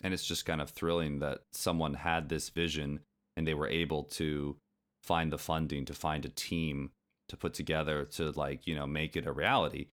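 A man's voice: extremely low pitch, level tone, moderate pace, fairly bright tone. A man from the United States co-hosting a podcast in casual chat, curious and asking questions. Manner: curious, asks questions, chatty. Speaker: podcast co-host.

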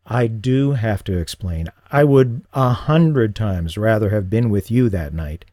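A man voicing a lonely, regretful middle-aged father with a halting, earnest manner in audiobook dialogue. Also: fairly low pitch, lively intonation, mellow, no echo, studio quality. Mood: apologetic, earnest.

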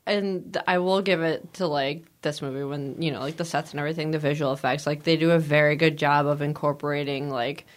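A woman's voice: sits low, steady tone, a little fast, few pauses. A woman co-hosting a podcast, chatting bluntly and snarkily about a film, full of likes and the odd swear. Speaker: podcast co-host. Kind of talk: casual movie chat.

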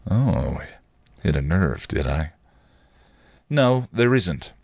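A cocky, passionate vampire voiced by a man reading a story. He goads and presses insistently in a low, dry-sounding voice with animated swings of pitch. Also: slow, gravelly, no room sound, full character voice. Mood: intense. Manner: provocative, insistent, goading. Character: cocky, taunting, passionate male vampire with British slang.

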